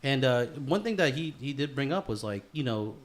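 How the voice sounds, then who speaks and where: A man's voice: mid-range voice, lively intonation, moderate pace. A man hosting a podcast as casual chat, slangy and loose, swearing freely. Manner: slangy, calls listener bro and dude, swears freely. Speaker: podcast host.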